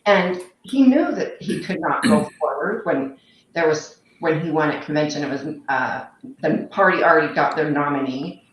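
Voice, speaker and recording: A woman's voice: low-pitched, expressive, moderate pace, slight background noise. A woman from Utah giving emphatic, insistent, unscripted political commentary, recorded with muffled, echoing sound. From Utah, United States. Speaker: political panelist. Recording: muffled, heavily echoing room audio.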